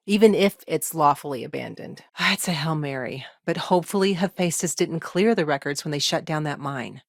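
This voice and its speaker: A woman voicing a weary, earnest lawyer in a video game, speaking in measured, articulate, formal phrases with wry asides. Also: on the low side, dry recording, studio quality, close to an everyday voice. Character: exhausted, dry-witted woman lawyer, earnest and principled. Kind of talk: naturalistic video game dialogue acting.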